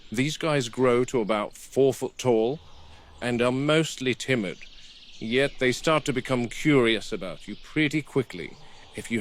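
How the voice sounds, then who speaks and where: Male park warden, played by an actor for found-footage drama: mid-pitched, watchful, explains things plainly and methodically. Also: close to an everyday voice. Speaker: game warden narrating a video log. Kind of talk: found-footage documentary narration.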